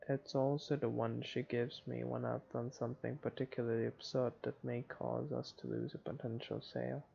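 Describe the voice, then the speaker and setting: A man's voice: mid-range voice, little pitch movement, moderate pace, gravelly, warm tone, husky, muffled recording. A man reading a visual novel aloud as dramatic narration for a playthrough.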